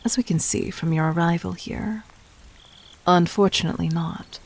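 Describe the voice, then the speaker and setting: A woman playing a calculating, commanding demon queen in an audio roleplay, speaking formally and deliberately in a soft voice that often dips toward a whisper. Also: fairly low pitch, slightly rough. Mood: cool, commanding. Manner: measured, regal, formal, deliberate. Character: calculating, commanding demon queen toying with her would-be assassin. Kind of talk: scripted audio roleplay addressed to the listener.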